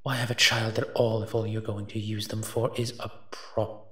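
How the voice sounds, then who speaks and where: Grumbling low-voiced monster, acted by a man. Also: flat delivery, normal speed, pushed and bright. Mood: disdainful, musing. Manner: grumbling, sardonic, dry. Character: grumbling, reluctant invisible male monster, secretly soft-hearted. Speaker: voice actor in an audio roleplay. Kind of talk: scripted character monologue, audio roleplay.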